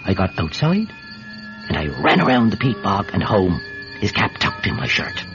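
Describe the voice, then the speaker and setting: A man's voice: on the low side, moderate pace, faint music behind. An actor playing a chatty, scheming Irish villager who tells his tale in a folksy, blustering way in a radio drama. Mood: animated storytelling. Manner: animated, folksy, stammering when flustered. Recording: dull, noisy radio-drama recording.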